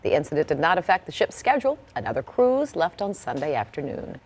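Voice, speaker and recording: A woman's voice: low-pitched, sing-song, fast, a little mellow, slightly rough. A woman with an American accent reading scripted television news as a morning anchor.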